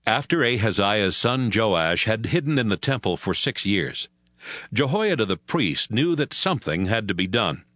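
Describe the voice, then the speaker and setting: A man's voice: lowish voice, very animated, moderate pace, a bit bright, no room sound, studio quality. A man narrating scripture as a dramatic, scripted audio Bible reading. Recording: music bed behind voice.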